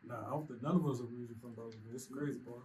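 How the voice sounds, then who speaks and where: A man's voice: medium pitch, fairly even intonation, rapid-fire, mellow. A man from Kentucky speaking informally as a guest in a casual group interview. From Hopkinsville, Kentucky, United States. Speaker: music producer, group member and interview guest. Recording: noticeable background room noise.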